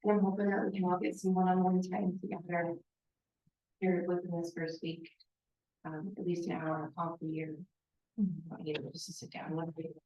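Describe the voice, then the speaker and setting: A soft-spoken woman introducing herself as the new town administrator at a board meeting. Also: lowish voice, level tone, moderate pace, lo-fi sound. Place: town hall meeting room. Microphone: table microphone.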